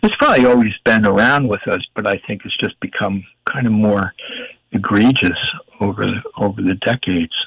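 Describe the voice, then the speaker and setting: An older man with a gravelly voice, a guest in an interview, talking in a rambling, emphatic way while searching for words. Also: fairly low pitch, moderate pace, fairly bright tone, dry recording. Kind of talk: casual interview talk. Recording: dull, dry audio.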